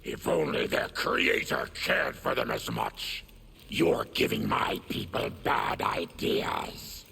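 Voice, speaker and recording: A monstrous game warrior with a heavily gravelled, low growl. He is proud and bitter, speaking in slow, formal, menacing phrases that swing dramatically in pitch. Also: wildly swooping pitch, sharp tone, slight background noise, full character voice. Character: afflicted monstrous warrior, proud, bitter former army tribune. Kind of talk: video game fight-intro dialogue.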